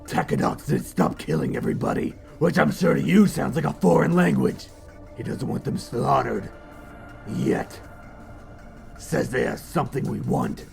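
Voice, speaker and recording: Guy doing gravelly crab warlord villain, sarcastic tough talk, lots of swoops, cartoon fan dub. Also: mid-range voice, very animated, normal speed, darkish tone, faint music behind. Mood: irritated, threatening. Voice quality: gravelly, growling. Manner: sarcastic, mock-casual threats. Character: sardonic, threatening crab-army sea warlord, a tough thug. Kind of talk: fan dub animation acting.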